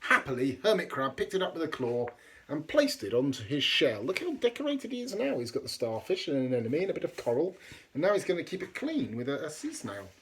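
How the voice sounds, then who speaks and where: A man reading a children's storybook aloud expressively, with dramatic pauses and chatty asides for young listeners. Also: on the high side, wildly swooping pitch. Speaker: storybook reader.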